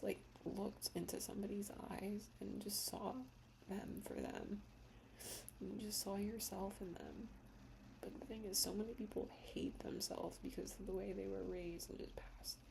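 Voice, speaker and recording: Slow-whispering woman with gravelly tone. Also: mid-range voice, background noise. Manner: soft whispering, slow and reflective, emotional. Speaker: ASMR creator. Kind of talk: whispered personal ASMR talk.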